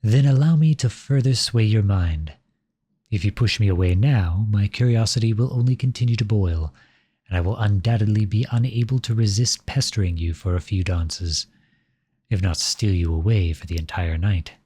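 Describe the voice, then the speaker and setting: A man playing a flirtatious, silver-tongued royal at a masquerade ball in an ASMR roleplay, speaking in courtly, formal, flattering phrases with a husky voice. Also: lowish voice, normal speed, no echo, clean studio sound. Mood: earnest, coaxing. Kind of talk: ASMR roleplay, scripted romantic monologue addressed to listener.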